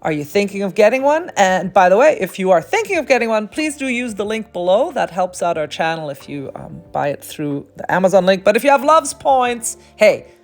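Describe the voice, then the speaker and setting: A woman's voice: medium pitch, big pitch swings, a little fast, hardly any pauses. A woman speaking as a vlog co-host, addressing viewers directly in a chatty, upbeat, casual way. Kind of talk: casual scripted-sounding video outro. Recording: music playing behind the voice.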